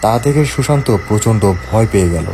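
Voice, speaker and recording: Low-voiced man narrating a horror story. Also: lively intonation, brisk, music in the background.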